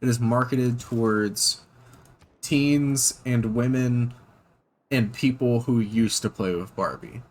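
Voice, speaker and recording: A man giving casual reaction commentary, halting with ums and restarts, blunt and profane. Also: medium pitch. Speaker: reaction channel host.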